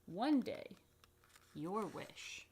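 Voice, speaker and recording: A woman reading a picture book aloud to children, expressively and unhurriedly, with dramatic pauses and chatty asides. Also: medium pitch, sing-song, a little mellow, a little hiss or hum. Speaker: rabbi reading a children's story. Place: indoors.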